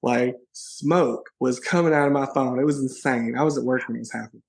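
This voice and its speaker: A man's voice: medium pitch, steady tone, brisk, creaky. A man, chatting in an interview as a guest, playful and bubbly and often laughing. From Alabama, USA. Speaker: guest, social media personality. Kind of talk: casual interview chat.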